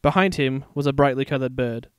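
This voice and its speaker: A man's voice: medium pitch, brisk, clear, resonant tone, no room sound. A man giving a dramatic narration of a story. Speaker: fan fiction reader. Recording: background music under a dry voice.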